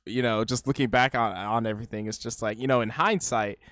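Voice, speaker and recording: A man's voice: medium pitch, steady tone, fairly quick, a bit bright, dry recording, studio quality. A man hosting a YouTube reaction video, talking casually and rambling with lots of "like" and "you know".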